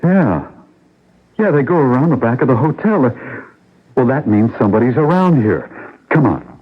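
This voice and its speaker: An actor playing a practical, reassuring, somewhat hesitant husband in a 1980s radio drama. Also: male voice, medium pitch, big pitch swings, moderate pace, close to an everyday voice. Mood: curious. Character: practical, reassuring husband stranded while travelling. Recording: noisy, tinny old radio broadcast.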